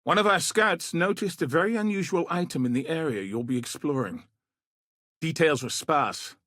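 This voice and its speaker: An actor playing a weary, battle-scarred veteran commander in a video game, speaking in a measured, somber, dry and plain-spoken way with a husky, rough edge. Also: male voice, fairly high pitch, expressive, a bit bright, dry recording. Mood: businesslike, wary. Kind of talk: video game character dialogue.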